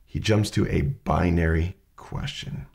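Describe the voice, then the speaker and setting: Guy with hay fever rasp, low gravelly voice, explaining questions step by step like a casual class. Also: fairly even intonation, unhurried, a little mellow. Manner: methodical, restarts mid-sentence while explaining. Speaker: podcast host teaching questioning.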